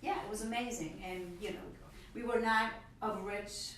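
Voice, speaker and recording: Expressive woman in her fifties, echoey room. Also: medium pitch, normal speed. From Ecuador. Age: 50s. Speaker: guest. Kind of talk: casual interview storytelling. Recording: echoey room, far from mic, noisy.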